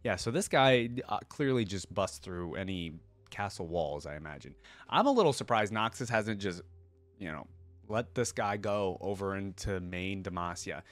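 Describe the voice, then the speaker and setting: A man's voice: low-pitched, animated, normal speed, no echo. A man giving casual reaction commentary as a video host, thinking aloud in a conversational way.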